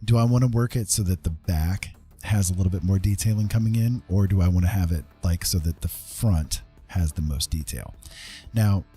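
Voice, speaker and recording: A man hosting a casual art livestream, thinking aloud in an unhurried, tentative way and often checking in with listeners. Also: deep voice, mellow, faint music behind. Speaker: livestream host, digital artist.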